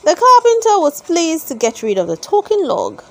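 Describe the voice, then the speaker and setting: A woman reading a children's story aloud in an expressive, deliberate storytelling manner. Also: extremely high pitch. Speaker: storybook reader.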